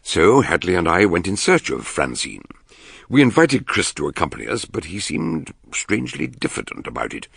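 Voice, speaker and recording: Gravelly, husky English detective doctor. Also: male voice, low-pitched, wildly swooping pitch, a bit bright, heavily acted. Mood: genial narrating. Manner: hemming, musing, deliberate questioning. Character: eccentric older male detective doctor, genial, shrewd, ponderous. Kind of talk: British radio mystery drama.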